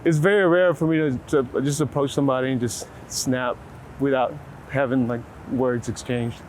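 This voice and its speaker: A man's voice: medium pitch, fairly even intonation, normal speed, a little mellow. An American man from Texas talking casually and reflectively as a photographer in an interview, with an informal, searching way of putting things. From Waco, Texas, United States. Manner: reflective, informal, hedging with frequent "like". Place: outdoors on a city street. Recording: clear street and traffic noise. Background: urban ambience, passing vehicles.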